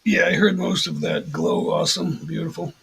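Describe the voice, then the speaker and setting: A man's voice: a little high, normal speed, gravelly, breathy edge. A man hosting a livestream, chatting casually and joking with his guests. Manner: chatty, jokey, animated. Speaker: livestream host. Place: home, livestream. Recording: faint hiss.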